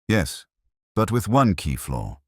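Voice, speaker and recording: A man voicing a calm, quietly shrewd police inspector who lays out evidence in a measured, understated and methodical way. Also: fairly low pitch, no echo, studio quality, pitched above the performer's own voice, full character voice. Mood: methodical, certain.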